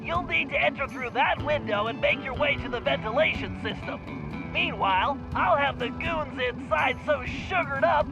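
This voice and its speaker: A man with an American accent voicing a brainy, earnest gang planner in an animated game, precise and slightly pompous. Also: very high voice, normal speed, dull audio, over music. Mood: instructive. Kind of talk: animated video game acting.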